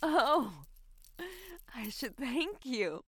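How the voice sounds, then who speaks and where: A woman performs a frightened yet defiant captive for an audio drama. Her voice is very high and sharp, with emotional, biting delivery that swings widely in pitch. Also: lively intonation, drawn-out words, husky, no echo, clean studio sound, exaggerated voice. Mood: bitter sarcasm. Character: terrified but defiant young female captive of a killer.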